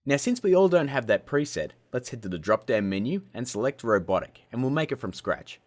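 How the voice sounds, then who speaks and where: A man hosting a casual software tutorial in a cheeky, self-mocking way, with jokey asides. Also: mid-range voice, sing-song, fairly quick. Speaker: host of a video-editing tutorial show. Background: music.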